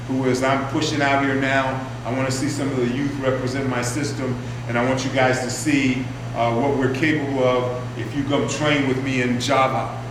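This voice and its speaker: An older man, a martial arts teacher, speaking in a relaxed, rambling way to a workshop group. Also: medium pitch. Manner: relaxed, rambling, warmly introducing. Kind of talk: workshop talk to students. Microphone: far from the mic. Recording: echoey room from far off, hum.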